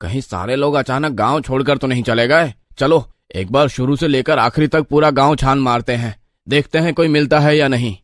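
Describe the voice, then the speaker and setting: A man's voice: medium pitch, normal speed, no room sound, studio quality, close to an everyday voice. A man voicing a curious, easygoing young village lad in a moral story. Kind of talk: animated moral story dialogue.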